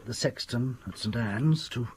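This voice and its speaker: An actor playing a haunted, sardonic country doctor in a British radio drama, with an English accent, a husky breathy voice and theatrical, deliberate delivery. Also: male voice, medium pitch, slight background noise, lightly acted. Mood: macabre, hushed. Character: haunted country doctor confessing grave robbing, sardonic, fearful.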